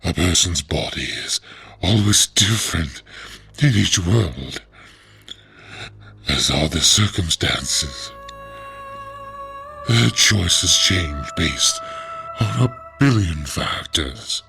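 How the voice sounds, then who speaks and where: A solemn, dying revenant speaks in a bottomless bass for an audio drama. The voice drags very slowly, weary and strained, with halting, wheezy phrases and a hard gravelly edge. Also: male voice, lively intonation, sharp tone, soft background music, full character voice. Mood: solemn, weary confession. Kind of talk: dramatic audio fiction acting.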